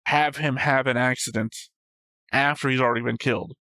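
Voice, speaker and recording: A man's voice: medium pitch, steady tone, fairly quick, sharp tone, no room sound. Man talking casually, dry close recording. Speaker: reaction show co-host. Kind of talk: casual discussion of an animated series.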